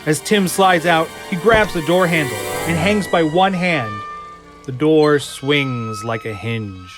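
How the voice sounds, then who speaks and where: A man narrating an audio drama from a script in a deliberate, suspenseful manner. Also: a little high, animated, over music.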